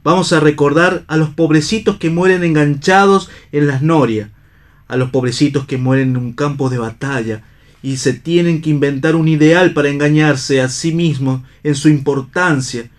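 A man's voice: a little high, moderate pace, lightly acted. An Argentine man playing a bitter, idealistic, disillusioned stage actor in a radio theatre reading. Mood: impassioned, oratorical.

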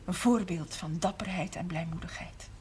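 A woman's voice: on the low side, moderate pace, darkish tone, close to an everyday voice. Actress as a steadfast mother, noisy old recording. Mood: composed, solemn. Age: middle-aged. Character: steadfast, emotional mother of a large Limburg family. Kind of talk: 1970s television drama acting.

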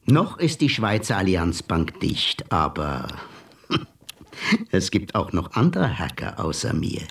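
An actor playing a cool, mocking male blackmailer in a radio play, smooth and unhurried. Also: low-pitched, big pitch swings, a bit bright, recorded from a distance, heavily acted. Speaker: blackmailing hacker visitor. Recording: voice set back from mic, music behind.

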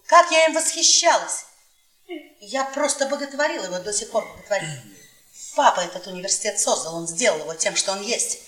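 An actress playing a bitter, domineering middle-aged professor's wife in a radio play, drunk, theatrical and mocking, drawing out key words. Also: female voice, medium pitch, a bit bright, lightly acted. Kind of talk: Soviet radio theatre acting. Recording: echoey 1980s stage-like radio play, distant.

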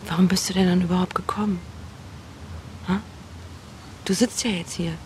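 A woman's voice: fairly low pitch, fairly even intonation, normal speed, background noise, lightly acted. An actress in her twenties playing a blunt, incredulous young woman facing her ex in a naturalistic radio drama. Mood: indignant.

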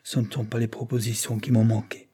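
A man's voice: mid-range voice, little pitch movement, brisk, mellow, slightly rough, lightly acted. A man voicing a timid young woman who speaks in a faltering, pleading way.

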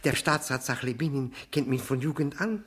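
A man's voice: medium pitch, lively intonation, normal speed, fairly bright tone, husky. An actor playing a fawning, self-abasing widower in a 1960s German radio play, hesitant and stammering as he pleads. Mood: eager, insistent. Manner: hesitant, obsequious, stammering repetitions.

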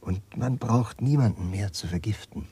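An actor playing a calm, reflective man in a radio play, musing slowly. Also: fairly low pitch, husky. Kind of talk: 1980s radio drama acting.

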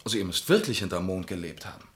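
An actor in a German radio play voicing a breezy, boastful film writer, chatty and exuberant. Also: male voice, low voice, expressive, fast talker. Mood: self-important. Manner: chatty, exuberant, laughing, name-dropping.

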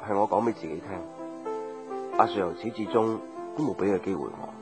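A man's voice: low voice, moderate pace. An actor playing a patient, devoted young man in love, earnest and reflective, in a Hong Kong Cantonese radio drama. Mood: melancholy, reflective. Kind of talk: radio drama dialogue and inner monologue. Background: background music.

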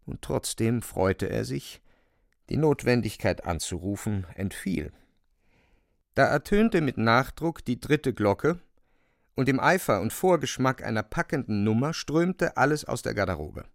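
A man narrating a literary radio drama with measured, dramatic storytelling. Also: mid-range voice, expressive, gravelly, no echo, studio quality.

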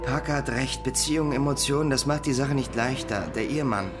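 A man's voice: medium pitch, fast talker, over music, close to an everyday voice. A man playing a level-headed criminal associate who reasons bluntly, in a crime radio drama. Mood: blunt, explaining.

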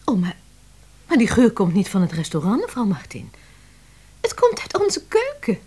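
A chatty woman office assistant in a radio play. Her voice is breathy and husky, with lively, swooping pitch and a dreamy, eager temper. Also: normal speed. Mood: dreamy, gushing. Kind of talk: Dutch radio play acting.

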